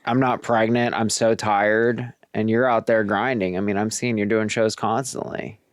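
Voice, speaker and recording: A woman's voice: a bass voice, little pitch movement, normal speed, dry recording, studio quality. A woman hosting a podcast who asks loose, rambling questions and laughs along with her guest. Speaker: podcast host. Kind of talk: casual interview chat.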